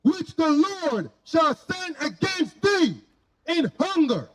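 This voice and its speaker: Very high, loud man declaiming scripture. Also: very animated, slow, rough recording. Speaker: scripture reader for a street preacher. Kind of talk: loud declamatory Bible reading.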